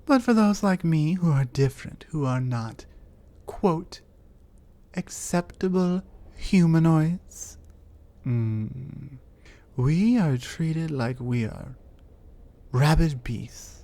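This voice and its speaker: Dominant female troll, voiced by a woman. Mellow, lively pitch, slow and taunting, with whispers. ASMR roleplay. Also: full character voice. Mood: scornful. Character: territorial, dominant female troll living alone in a cave.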